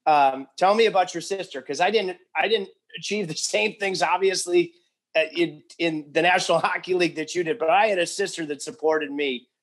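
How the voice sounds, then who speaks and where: A man's voice: on the high side, a little fast, fairly bright tone. A man in his forties hosting a casual interview, joking and asking rambling, friendly questions. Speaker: podcast host.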